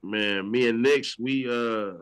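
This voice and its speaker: A man's voice: mid-range voice, slow pace, fairly bright tone, clean tone. A man in his thirties, a rapper being interviewed, chatting casually in laid-back slang. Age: 30s. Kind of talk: casual chat.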